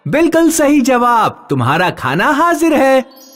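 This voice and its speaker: Man putting on a high, lilting magic flower. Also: wildly swooping pitch, measured pace, fairly bright tone, faint music behind, full character voice. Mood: inviting, playful. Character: talking magic flower offering food for riddles. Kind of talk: children's animated storybook voice.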